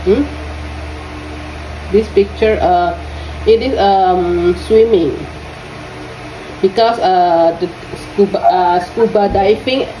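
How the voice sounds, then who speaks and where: A woman from Indonesia with an Indonesian accent teaching English to young students in an online lesson. She speaks haltingly, repeats her questions and fills her pauses with "uh". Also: medium pitch, mellow. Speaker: English tutor. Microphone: lesson audio recorded on a phone. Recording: roomy phone recording with faint noise.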